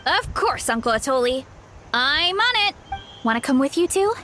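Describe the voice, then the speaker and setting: High, lively young farm woman. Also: normal speed, slight background noise, heavily acted. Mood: matter-of-fact. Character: friendly young woman, easygoing food-loving mushroom farmer. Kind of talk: animated game character dialogue.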